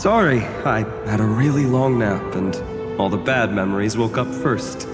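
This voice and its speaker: An actor playing an easygoing, wry warrior in a video game. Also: male voice, lowish voice, moderate pace, close to an everyday voice. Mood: lighthearted. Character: easygoing, wry male warrior greeting old partner. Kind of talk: dramatic video game acting. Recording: long echoing reverb over music.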